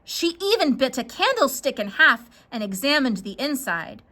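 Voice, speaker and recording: A woman narrating a scripted children's story in a dramatic, expressive way. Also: high-pitched, moderate pace.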